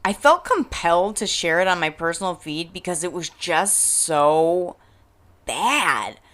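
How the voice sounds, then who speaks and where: A woman's voice: fairly low pitch, sing-song, a little slow, a bit bright. A woman hosting a podcast in a casual, scripted monologue, speaking frankly and wryly with dramatic emphasis. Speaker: podcast host.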